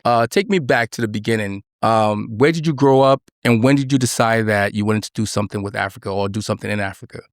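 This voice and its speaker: Gravelly fast-talking male host. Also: fairly low pitch, no room sound, clean studio sound. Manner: casual, brotherly questions. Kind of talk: interview hosting.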